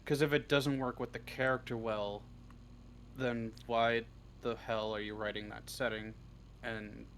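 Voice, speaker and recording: A man with a mid-pitched voice chats casually as an interview guest, pausing to think his way through answers. There is clear noise behind him on the recording. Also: little pitch movement, a bit bright. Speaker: interview guest, audio roleplay script writer. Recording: clearly noisy background.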